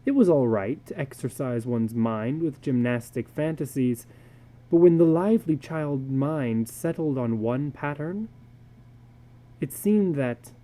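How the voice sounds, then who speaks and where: A man giving a dramatic reading of a short story, deliberate and brooding, with pauses between phrases. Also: mid-range voice, warm tone. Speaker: narrator.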